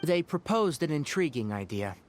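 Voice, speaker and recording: An actor playing a young male scholar of the forest in a video game, measured, principled and gently stern, explaining things articulately. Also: on the high side, sing-song, slight background noise. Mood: serious, explanatory.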